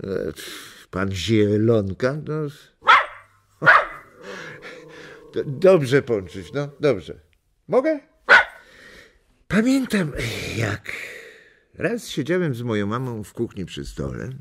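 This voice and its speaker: A man's voice: mid-range voice, wildly swooping pitch, takes it slowly, long pauses, very noisy, full character voice. An actor playing an affectionate elderly grandfather in a children's radio play, chuckling and hesitating theatrically. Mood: wistful, hesitant. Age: 70s. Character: playful, affectionate old grandfather storyteller.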